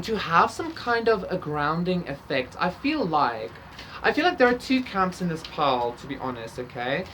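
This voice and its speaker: A woman's voice: fairly low pitch, a bit bright, a little hiss or hum. A woman, an Afrikaans speaker, giving a casual tarot reading in a chatty way, often ending thoughts with "okay?". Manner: chatty, lots of "like" and "okay?" tags. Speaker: tarot reader.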